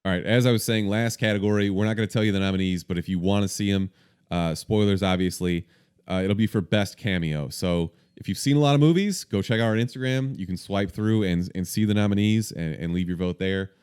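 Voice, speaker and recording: A young man hosting a casual movie podcast, brisk and a bit sweary, running the segments. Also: fairly low pitch, a little mellow, smooth. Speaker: podcast host.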